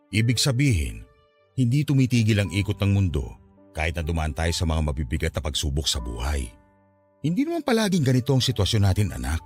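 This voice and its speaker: A man playing a patient, hopeful middle-aged father in a Filipino radio drama, giving earnest advice. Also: low voice.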